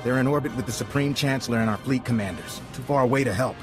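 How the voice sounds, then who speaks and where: No-nonsense male agent actor, mid-range, brisk, loud game score behind. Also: mid-range voice, natural voice. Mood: urgent, businesslike. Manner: clipped, businesslike. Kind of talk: video game cutscene acting. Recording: game cutscene with loud music.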